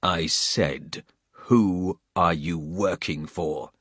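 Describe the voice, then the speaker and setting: A man voicing a hard, menacing male soldier who interrogates a prisoner with slow threats. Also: sits low, a bit bright, dry recording, studio quality, exaggerated voice.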